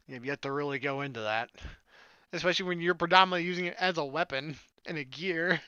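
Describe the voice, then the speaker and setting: High-pitched, bright-voiced male let's player. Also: fast talker, smooth, dry recording, lo-fi sound. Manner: jokey, sarcastic asides. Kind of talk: let's play commentary with read-aloud narration.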